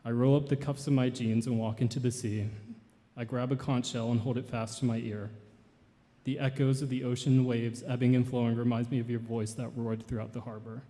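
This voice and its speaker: A young man in his twenties delivers an earnest, measured eulogy for his grandfather. His voice is mellow and dark, carried by the long reverb of a large church hall. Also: mid-range voice, flat delivery. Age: 20s. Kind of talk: memorial tribute and poetry reading.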